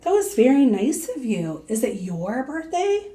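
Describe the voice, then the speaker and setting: A woman voicing a big, gentle, courteous male panda in a storybook, speaking politely and kindly. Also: fairly high pitch, big pitch swings, measured pace, voice pushed up. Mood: warm, kindly.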